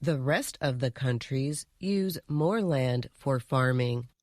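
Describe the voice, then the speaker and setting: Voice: mid-range voice, animated, slow pace, dry recording, studio quality. A reader reading letters and example sentences aloud from a script, with careful enunciation.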